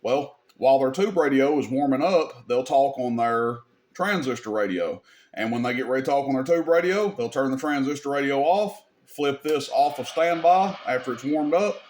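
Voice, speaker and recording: A man's voice: medium pitch, expressive, normal speed, darkish tone. A man giving a casual hands-on equipment demonstration, explaining things step by step in an informal, folksy way. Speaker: radio repair video host demonstrating gear.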